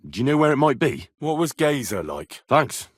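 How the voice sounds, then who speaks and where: Medieval game hero: young British commoner, earnest and inquisitive, husky, animated delivery, informal and plain-spoken. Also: male voice, mid-range voice, moderate pace, no room sound, clean studio sound. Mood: curious, polite. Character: earnest, curious young blacksmith's son in medieval Bohemia. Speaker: video game voice actor. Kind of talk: game dialogue lines.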